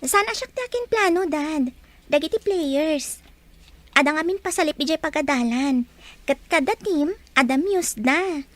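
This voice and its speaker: Very high-voiced, plaintive young student played by a woman. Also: expressive, measured pace, no echo. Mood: curious. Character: young female student, insecure about looks, plaintive, self-doubting. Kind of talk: Ilocano audio drama acting.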